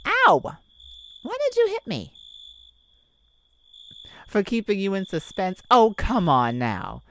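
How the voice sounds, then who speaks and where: A woman voicing a playful, flirtatious immortal vampire in an intimate ASMR roleplay, teasing and dramatic with lingering pauses. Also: mid-range voice, huge pitch swings, a bit bright, heavily acted.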